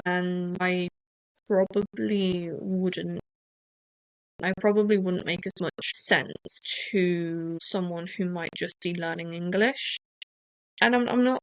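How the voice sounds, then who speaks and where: A woman hosting a casual podcast, rambling and hesitant, often stopping to restart her sentences. Her voice has a gravelly texture and sits in a middle pitch. She is recorded dry and close, with almost no room sound. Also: no room sound, poor audio. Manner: speaks slower than usual, as to her parents. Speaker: podcast host.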